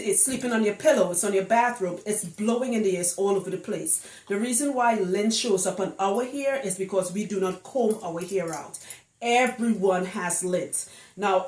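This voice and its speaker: A woman's voice: mid-range voice, darkish tone. A woman giving a casual, chatty explainer to camera as a hairstylist, emphatic and fond of rhetorical questions. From Trinidad. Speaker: locs hairstylist. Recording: roomy, echoing indoor sound.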